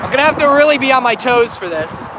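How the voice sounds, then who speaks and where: A man's voice: very high voice, lively intonation, fast. A man recovering from a cold, livestreaming by phone from a bridge, chatting to viewers and often restarting and correcting himself. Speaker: railfan livestreamer. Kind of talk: casual livestream chat. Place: outdoors on a bridge by a busy highway. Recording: loud traffic and wind noise outdoors. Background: heavy traffic and wind.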